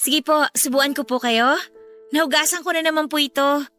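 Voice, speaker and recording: A woman's voice: high voice, fairly even intonation, moderate pace, sharp tone, faint music behind. An actress playing a caring, devoted Filipino teenage girl in an emotional radio drama. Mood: cheerful, doting.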